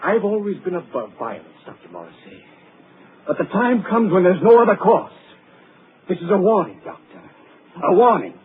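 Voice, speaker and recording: A man's voice: sits high, very animated, normal speed. An actor playing a deranged, obsessed surgeon-patient who threatens his doctor in a 1940s radio drama. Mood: menacing. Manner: menacing, mocking, broods then rants. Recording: muffled lo-fi 1940s radio broadcast with hiss.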